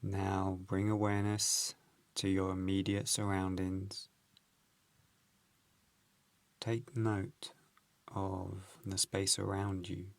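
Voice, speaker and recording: Slow, low-pitched man guiding meditation. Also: gravelly, dry recording. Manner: gentle, unhurried, measured phrasing with pauses. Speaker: meditation guide. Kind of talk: guided meditation, calm instruction.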